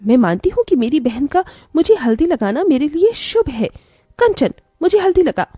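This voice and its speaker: A woman's voice: high-pitched, sing-song, moderate pace, dark tone, dry recording, studio quality. A woman voicing a sweet, loving young bride in a cartoon story, earnest and girlish, protective of her sister. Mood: firm, protective. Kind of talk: Hindi cartoon story voice acting.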